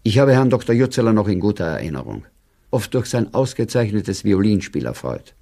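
Radio play: courteous but condescending chairman, lowish mellow voice, formal letter delivery. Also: very animated, creaky, full character voice. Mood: formal sympathy. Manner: stiff, courteous, measured. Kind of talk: 1930s letter read in radio drama.

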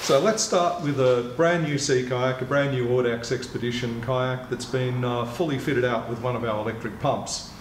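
Male, 60s, methodical product presenter, mid-pitched voice, roomy shop sound with clear background noise. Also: steady tone, normal speed, few pauses. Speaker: kayak retailer presenting a product. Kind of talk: explanatory product demonstration. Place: indoor kayak shop or workshop.

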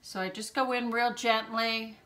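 Bright-voiced woman doing a makeup tutorial. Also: on the high side, fairly even intonation, measured pace. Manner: gentle, chatty step-by-step explaining with fillers. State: allergies, clearing throat. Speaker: beauty channel host. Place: small home room with shelves, indoors.

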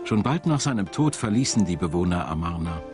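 A man narrates a documentary from a script. His voice sits fairly low. Music plays clearly behind his words. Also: sing-song, fairly quick. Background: music behind the voice-over.

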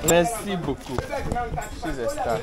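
A man's voice: high-pitched, big pitch swings, measured pace, gravelly, over loud music. Chatty man vlogging in a busy market. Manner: chatty, curious, asks lots of questions. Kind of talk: casual walking vlog narration. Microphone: handheld camera at arm's length. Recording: loud market crowd behind.

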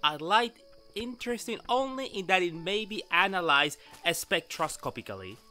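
An actor playing a playful, adoring professor husband in old-time radio drama style, florid and teasing. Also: male voice, high voice, big pitch swings, moderate pace, sharp tone, soft background music, full character voice. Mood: romantic.

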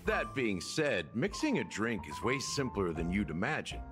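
An actor playing a laid-back middle-aged bartender in a game, speaking in a measured, casual, faintly theatrical way. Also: male voice, on the low side, sing-song. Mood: easygoing. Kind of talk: English game voice acting. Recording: clean game dialogue over background music.

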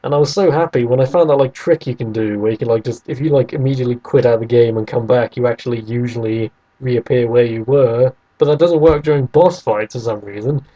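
A man's voice: medium pitch, fast talker, hardly any pauses, dark tone, lo-fi sound. A man chatting casually as a podcast co-host, bantering and arguing his point. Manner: bantering, argumentative. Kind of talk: casual debating chat.

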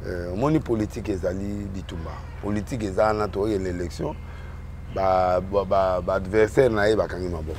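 Homme originaire du Congo qui parle en mélangeant le français et le lingala, sur un ton emphatique, en répétant ses mots dans une discussion politique. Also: male voice, low-pitched, steady tone, brisk, a little mellow, a little hiss or hum. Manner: mixes French and Lingala, emphatic, repeats words. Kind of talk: animated political chat.

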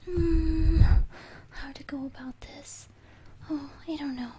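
A young woman puts on a shy, gentle cartoon pony who whispers softly and hesitantly, as if unsure of herself. The voice sits high but sounds soft and mellow, right up against the mic. A faint hiss sits underneath. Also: fairly even intonation, slow. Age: young adult. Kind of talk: whispered ASMR role play. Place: small room at home. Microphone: studio mic close to the mouth.

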